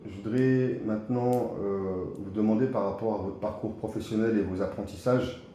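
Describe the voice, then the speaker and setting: A man's voice: fairly low pitch, steady tone, moderate pace, warm tone. A man interviewing a job candidate, asking structured questions in a polite, encouraging way with fillers. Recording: very echoey room with faint noise.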